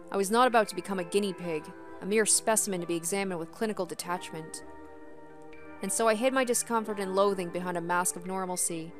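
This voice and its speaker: A woman with a medium-pitched voice gives a dramatic reading of a first-person story. Faint music runs behind her narration. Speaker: gaming video host.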